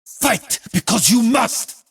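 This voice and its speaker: A man voicing a grim, resolute warrior hero in a video game, raspy and gravelly, making weighty, defiant pronouncements. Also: very high voice, sing-song, takes it slowly, a bit bright, heavily acted. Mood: solemn, defiant.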